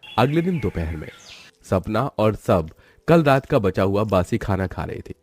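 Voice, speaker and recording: A man's voice: on the low side, big pitch swings, measured pace, no echo. A man reading scripted narration as the storyteller of a moral family story.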